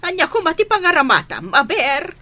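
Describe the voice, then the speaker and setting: A strict caring old granny, radio drama, bright punchy voice, very animated. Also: female voice, very high voice, a little fast, dry recording, full character voice. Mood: scolding. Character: stern but caring old grandmother. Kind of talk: radio drama acting in Ilocano.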